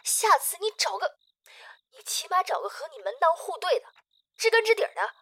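A woman's voice: very high voice, big pitch swings, fairly quick, fairly bright tone, no echo, full character voice. A woman acting an outspoken, pushy but caring young best friend in a modern audio drama. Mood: urging, hinting.

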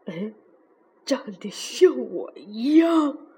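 A woman voicing a big, gruff male T-rex who acts tough but cares for a little one, in a storybook reading. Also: on the high side, sing-song, extremely slow, pitched below the performer's own voice, full character voice. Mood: wistful. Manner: gruff, blustering, softening. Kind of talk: storybook read-aloud.

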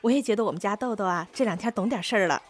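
A woman's voice: mid-range voice, very fast, lightly acted. An actress playing a grateful, sympathetic mother in a radio drama, speaking in a conversational way. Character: grateful, sympathetic mother of a special-needs child.

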